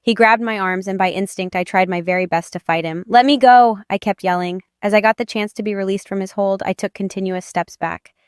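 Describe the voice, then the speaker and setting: Smooth, clear woman narrating dramatic romance. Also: mid-range voice, fairly even intonation, fairly quick, hardly any pauses, no room sound, clean studio sound. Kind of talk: dramatic first-person audiobook narration.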